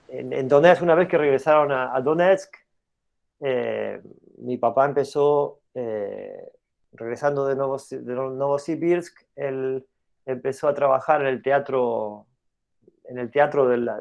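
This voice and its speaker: A middle-aged man who grew up in New York speaks as an interview guest in an even, mid-range voice. He talks at length and pauses often, filling gaps and restarting his sentences. The recording sounds dull and lo-fi. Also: mid-range voice, vocal fry. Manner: hesitant, many fillers and restarts. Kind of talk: storytelling explanation in an interview. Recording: dull lo-fi audio.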